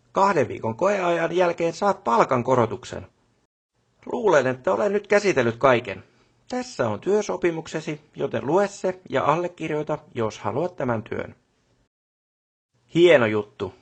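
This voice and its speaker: An actor playing a businesslike male company boss in a video game, talking matter-of-factly in corporate jargon and brisk orders. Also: medium pitch, vocal fry, slightly rough, exaggerated voice. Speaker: boss instructing employees. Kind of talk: video game character dialogue.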